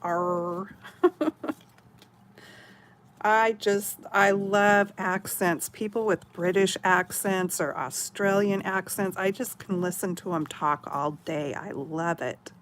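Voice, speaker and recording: Slow-talking chatty woman from Oregon. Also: fairly low pitch, fairly even intonation, slight background noise. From Oregon, USA. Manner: chatty, giggly, rambling. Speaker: craft channel host. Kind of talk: casual chat with live viewers.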